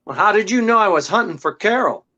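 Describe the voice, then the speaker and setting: On a slightly muffled recording, a determined old-time radio gumshoe, played by a man, talks in brusque slang. He is wisecracking and commanding, with a fairly high voice. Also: animated, fast, sharp tone. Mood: tough, pressing. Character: tough, determined, wisecracking male private detective. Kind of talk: 1940s radio acting. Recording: dull, band-limited sound.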